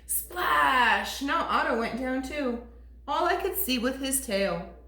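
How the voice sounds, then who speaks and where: A woman teacher reading a storybook aloud to toddlers in an expressive, sing-song way. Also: high-pitched, takes it slowly. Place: classroom. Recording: echoey room.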